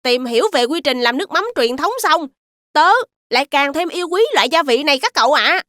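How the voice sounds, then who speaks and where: Bright, high cartoon kid. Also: female voice, brisk, dry recording, studio quality. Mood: eager. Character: eager, expressive young child in a cartoon. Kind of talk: scripted children's cartoon acting.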